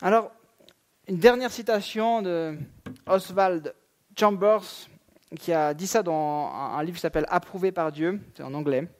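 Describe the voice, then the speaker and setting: A high-voiced man in his thirties delivers a church sermon. He keeps it conversational, mixing fillers and relaxed asides into his preaching. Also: a little slow. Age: 30s. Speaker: pastor. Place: church sanctuary.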